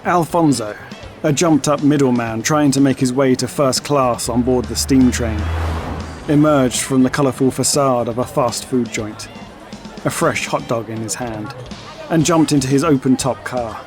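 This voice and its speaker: A man's voice: mid-range voice, moderate pace, over music. A man reading scripted narration for an audio drama.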